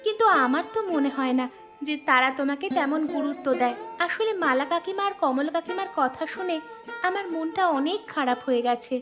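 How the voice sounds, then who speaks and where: A very high, girlish cartoon voice acted by a woman, playing a poor labourer's daughter. She sounds earnest, worried and easily hurt, in folk-tale dialogue with clear music behind her. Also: expressive, fairly quick. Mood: hurt, plaintive. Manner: plaintive, earnest.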